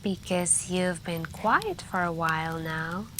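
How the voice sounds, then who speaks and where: A woman doing soft-spoken ASMR storytelling roleplay as a girlfriend by a campfire, hushed and intimate, with long pauses and some whispering. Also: lowish voice, expressive. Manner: hushed, drawn-out, pausing, intimate, with stretches of whispering. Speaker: roleplay storyteller playing a girlfriend on a camping trip. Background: campfire crackling and night ambience.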